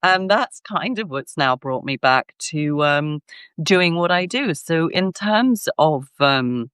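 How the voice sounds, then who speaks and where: A woman from Greece, a guest scholar, talking in a casual interview in an animated, emphatic way, often restarting her sentences. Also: low-pitched, slow pace, clear, resonant tone, no echo, studio quality.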